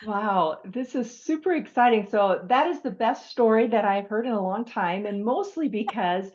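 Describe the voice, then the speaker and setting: Muffled, band-limited audio. Middle-aged woman interviewer, medium pitch, enthusiastic and appreciative, conversational delivery. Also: moderate pace, a little mellow. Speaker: interviewer, host.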